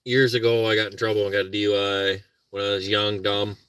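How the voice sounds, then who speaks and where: A man's voice: lowish voice, flat delivery, a little fast, a bit bright, clean tone, no room sound. A middle-aged man from Minnesota with a Minnesota accent, chatting casually and rambling to viewers from his car while car camping. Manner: rambling, self-deprecating, talks to viewers and his dog. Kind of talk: casual live chat.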